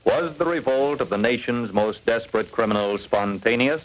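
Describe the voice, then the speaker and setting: A man's voice: medium pitch, normal speed, lightly acted, low-quality recording. A male actor as an urgent, dramatic announcer for an old radio crime show. His voice is mid-range. The recording sounds like 1940s broadcast. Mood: urgent, questioning.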